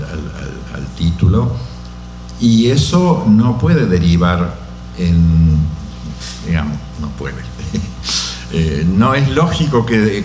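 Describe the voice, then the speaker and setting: Slow deep-voiced man, halting lecture answer. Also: a little mellow, roomy sound, a little hiss or hum. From Argentina. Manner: slow and halting, many fillers. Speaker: guest lecturer answering audience questions. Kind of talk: academic Q&A answer.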